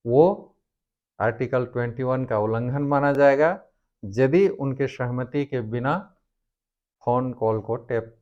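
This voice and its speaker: A man giving a formal explanatory lecture on law, speaking deliberately in short, halting phrases as a presenter. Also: mid-range voice, darkish tone, smooth, highs cut off.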